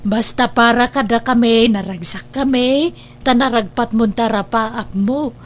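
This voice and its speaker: A woman acts out an elderly Filipino grandmother, tender and full of feeling. Her voice is high and she draws her words out slowly, in the heartfelt manner of radio drama. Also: slight background noise, lightly acted. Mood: proud, fond. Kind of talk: Ilocano radio drama acting.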